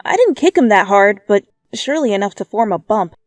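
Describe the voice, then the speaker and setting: A woman's voice: mid-range voice, sing-song, fairly quick, dry recording, studio quality, faint music behind, lightly acted. A woman voicing a caring, easily flustered young student in a dramatic fanfiction reading.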